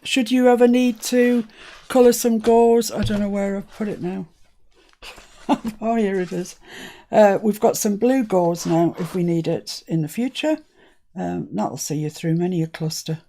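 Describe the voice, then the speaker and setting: A woman's voice: medium pitch, measured pace, dark tone, slight background noise. Scottish-born lady chatting on her craft live stream, laughs a lot, pokes fun at herself. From Scotland. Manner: chatty, laughs often, self-deprecating. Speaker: crafting channel host. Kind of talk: casual live-stream chat. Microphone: live-stream setup.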